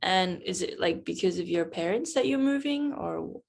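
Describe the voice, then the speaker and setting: A woman's voice: on the low side, lively intonation, normal speed, vocal fry. A woman interviewing a guest in casual chat, encouraging and repeating short replies like "cool, cool".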